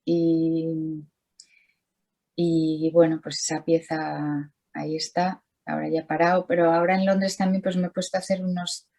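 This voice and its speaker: Middle-aged woman artist on a video call, low voice, lots of "pues" and restarts. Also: flat delivery, normal speed, mellow. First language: Spanish. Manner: rambling, many restarts and fillers. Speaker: artist, interview guest. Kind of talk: casual video-call interview.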